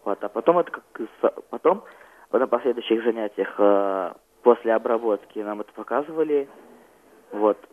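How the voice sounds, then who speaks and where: A teenage male student being interviewed as a radio guest, recounting his experiences haltingly and searching for words. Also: on the low side, expressive, phone-quality sound.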